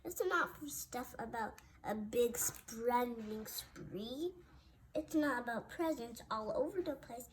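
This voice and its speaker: A woman with a very high, youthful-pitched voice reads a rhyming children's story aloud at a slow pace. She is halting and often repeats words as she goes. Clear background noise sits behind her. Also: low-quality recording. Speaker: storybook reader.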